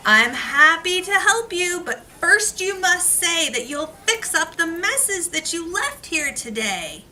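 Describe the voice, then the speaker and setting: A woman voicing a plucky, determined little gingerbread man from a storybook, excited and emphatic, recorded in a noisy room. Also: extremely high pitch, takes it slowly, pitched above the performer's own voice, heavily acted. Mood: bargaining. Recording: noisy classroom, distant mic.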